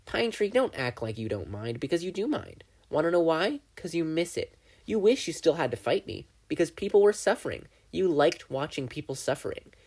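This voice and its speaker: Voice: mid-range voice, very animated, moderate pace, darkish tone, slightly rough, voice pushed up. A reader voicing a gleeful, menacing male triangle dream demon who taunts and mocks, in a fan fiction audiobook. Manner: taunting, theatrical, mocking.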